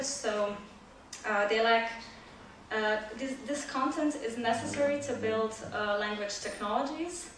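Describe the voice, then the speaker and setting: A woman from Croatia, a native Croatian speaker and PhD student, giving a measured academic conference talk with frequent "uh" fillers. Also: on the high side, slight background noise. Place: large lecture hall. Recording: echoey hall audio from far off.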